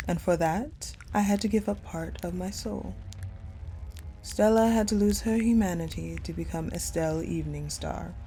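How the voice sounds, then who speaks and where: A woman playing a cold, possessive female general in an intimate audio roleplay monologue, speaking in a hushed, deliberate way with pauses. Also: fairly low pitch, vocal fry, poor audio. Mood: cold, resolute. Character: cold, possessive ice general confiding in her captive beloved. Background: music.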